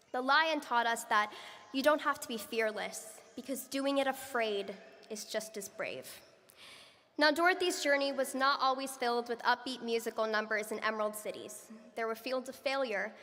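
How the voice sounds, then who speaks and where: A young woman delivers a graduation speech with a clear, bright voice set a little high. Her delivery is earnest and measured, and long reverb rings around her in a large hall. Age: young adult. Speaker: student speaker. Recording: echoey hall from far off.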